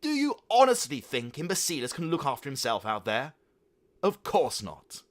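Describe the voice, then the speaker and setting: A man's voice: a little high, huge pitch swings, normal speed, voice pushed up. A man voicing an exasperated mother who scolds sarcastically. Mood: furious.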